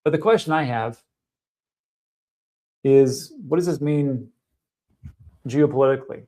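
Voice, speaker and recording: A man's voice: medium pitch, measured pace, dark tone. A man hosting a live stream about crypto markets, talking casually and thinking aloud with fillers.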